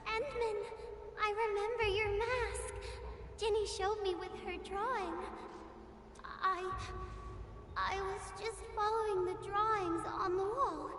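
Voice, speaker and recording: Game role: innocent little girl, very high voice, simple earnest storytelling, echoing cavern-like reverb with noisy ambience. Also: fairly even intonation, takes it slowly, pauses often. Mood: earnest, recounting. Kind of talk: video game voice acting.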